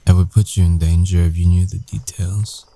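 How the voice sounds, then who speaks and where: A man playing a guarded, earnest werewolf ex-boyfriend in an intimate ASMR roleplay, speaking haltingly with long pauses. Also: sits low, level tone, mellow, slightly rough. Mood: guarded, confiding.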